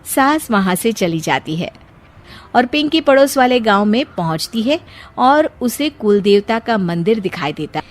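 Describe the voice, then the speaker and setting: A woman's voice: a little high, very animated, a little slow. A woman reading scripted narration for a children's story.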